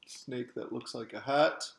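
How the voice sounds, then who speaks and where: A man reading a children's story aloud in an expressive way, as the author, with asides to show pictures. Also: medium pitch, measured pace, vocal fry, a little hiss or hum. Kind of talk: animated children's storybook narration. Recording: roomy, echoing sound.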